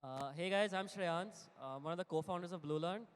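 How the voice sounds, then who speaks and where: A man's voice: high voice, brisk, smooth. A man in his twenties with an Indian accent, speaking casually as a co-founder on a panel. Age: 20s. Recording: echoey hall over microphone.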